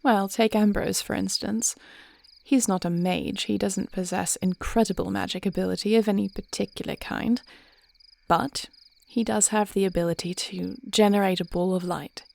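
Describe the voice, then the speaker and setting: A woman playing a thoughtful, devoted dragon queen wife in an intimate fantasy audio roleplay, speaking in measured, formal, tender phrases. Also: medium pitch, fairly even intonation, vocal fry, a little mellow, lightly acted. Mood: reflective, explaining.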